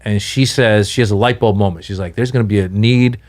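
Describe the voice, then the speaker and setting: Middle-aged man, casual podcast storyteller, animated delivery with sudden high jumps. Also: a little fast. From Iran. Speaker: guest comedian.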